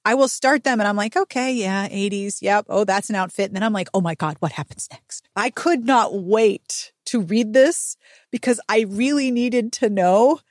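A woman's voice: medium pitch, normal speed, dry recording, clean studio sound. A woman hosting a casual book recap chat, talking playfully with dramatic emphasis. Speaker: podcast host.

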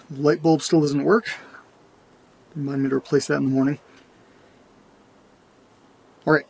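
A man acting as an uneasy, curious character alone at night in a creepy house, in a halting audio drama monologue, muttering to himself with a husky voice. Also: fairly high pitch, a little hiss or hum. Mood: nervous resolve.

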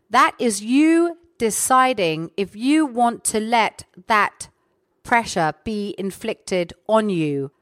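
A woman's voice: a little high, dry recording. Earnest woman in her forties, dry sound. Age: 40s. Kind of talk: casual chat.

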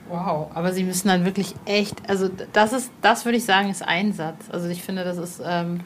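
A woman with a lowish voice asks questions in a casual, halting way, feeling for her words. Faint noise and room ambience sit behind her. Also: steady tone. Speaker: interviewer.

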